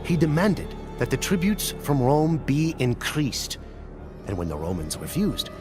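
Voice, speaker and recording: A man playing a solemn chronicler in a game cutscene, telling a tale of conquest in a grave, measured, dramatic storytelling style. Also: mid-range voice, huge pitch swings. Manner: grave, measured, deliberate pauses. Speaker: narrator of a game campaign cutscene. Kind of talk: dramatic scripted storytelling narration. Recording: music behind the voice. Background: music.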